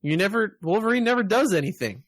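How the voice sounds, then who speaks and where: A man's voice: high voice, big pitch swings, fast, no room sound. Casual man chatting on a podcast, dry sound. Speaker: podcast host. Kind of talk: casual review chat.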